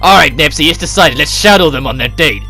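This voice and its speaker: A man voicing an energetic, silly, nosy young girl from a video game in a playful, excitable way. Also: fairly high pitch, fairly quick, vocal fry, faint music behind, heavily acted. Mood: excited. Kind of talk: amateur reading of game dialogue.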